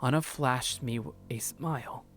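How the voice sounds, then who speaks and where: A woman's voice: rumbling low, unhurried. A woman reading a visual novel aloud as a streamer, stumbling over words and breaking off to comment. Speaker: let's play streamer. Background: background music.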